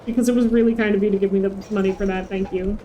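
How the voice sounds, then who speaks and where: A woman's voice: mid-range voice, steady tone, very fast, mellow, highs cut off, a little hiss or hum. A woman streaming a game with casual, playful, sweary chat, often talking to herself. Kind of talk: casual livestream gaming chat.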